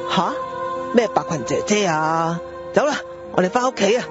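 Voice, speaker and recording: A man's voice: high voice, expressive, moderate pace. A male actor plays a harried father in a radio drama, grumbling and sighing with a Hong Kong accent. The recording is dull and lo-fi, with faint music underneath. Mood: concerned, coaxing. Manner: sighing, exasperated. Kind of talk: Cantonese radio drama acting. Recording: dull lo-fi audio with background music.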